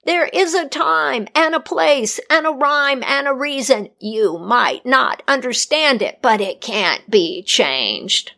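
A woman's voice: sits high, lively intonation, slow, gravelly, hardly any pauses, pushed and bright, pitched above the performer's own voice, full character voice. A woman playing Godmother Death, a grave old crone. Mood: stern.

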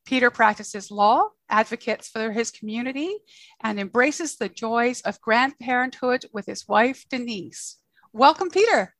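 A woman's voice: on the high side, lively intonation, measured pace, fairly bright tone, no room sound. A woman from Canada co-hosting a podcast, reading a guest introduction and asking questions in a friendly way. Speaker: podcast co-host.

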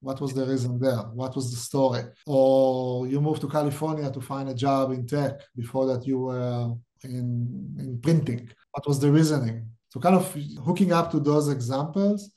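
A middle-aged man with an Israeli accent speaks in a warm, dark, mellow tone. He answers questions directly, thinking aloud and restarting his sentences as he works toward his point. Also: medium pitch, steady tone, moderate pace, few pauses, rough recording. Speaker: guest, startup CEO. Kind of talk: podcast interview.

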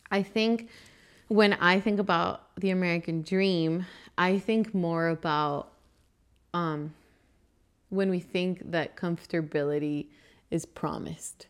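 Young woman born in Venezuela, earnest podcast co-host with a slightly low voice, casual delivery. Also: steady tone, takes it slowly, smooth. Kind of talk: casual faith podcast chat.

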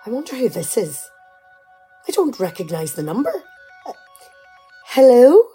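A woman playing a nagging, exasperated, sharp-tongued middle-aged wife in a radio play. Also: fairly high pitch, very animated, unhurried, breathy edge, faint music behind, heavily acted. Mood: guarded. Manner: exasperated, sarcastic scolding.

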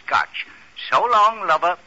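Blustery, animated old radio boozer. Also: male voice, high voice, slow pace, no echo, heavily acted. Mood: wheedling, jovial. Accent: American. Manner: florid, mock-indignant, rambling. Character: boozy, blustery old ex-doctor sidekick. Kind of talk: 1940s radio comic character acting. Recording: dull old radio recording.

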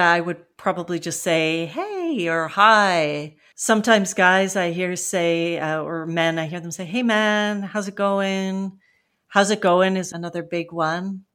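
A woman's voice: on the low side, a bit bright. A woman from western Canada with a West Coast Canadian accent, hosting a language-learning podcast and explaining things in a friendly, conversational way. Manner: friendly, explanatory, acts out example phrases. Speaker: podcast host and ESL teacher.

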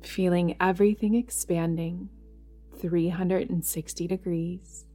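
A woman guides a meditation in a fairly low voice, speaking very slowly and gently. She leaves long, soothing pauses between her instructions. Music plays behind her. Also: steady tone. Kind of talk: guided yoga nidra meditation. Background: background music.

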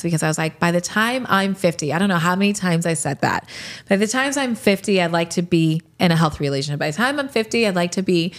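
A woman in her late forties hosts her own podcast and answers rapid-fire questions. Her voice sits on the low side. She speaks candidly and reflectively, and she laughs easily. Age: 40s. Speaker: podcast host.

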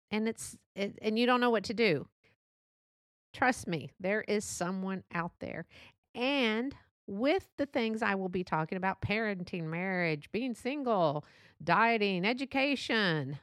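A woman's voice: mid-range voice, no room sound, studio quality. A middle-aged woman from Texas with a Texan accent, hosting a podcast in a chatty, rambling, self-deprecating solo monologue. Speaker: podcast host.

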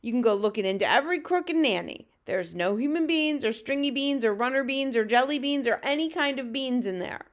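A woman's voice: high voice, expressive, fairly quick, few pauses, voice pushed up. A woman voicing a kindly, flustered male giant from a children's storybook, with folksy garbled grammar and made-up words. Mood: earnest, defensive.

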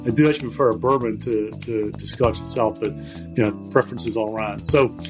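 A man's voice: on the low side, steady tone, a little fast, vocal fry, music in the background, poor audio. A man from Scotland, hosting a casual interview in a friendly, chatty way. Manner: friendly, conversational, reads poll options aloud. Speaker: podcast host.

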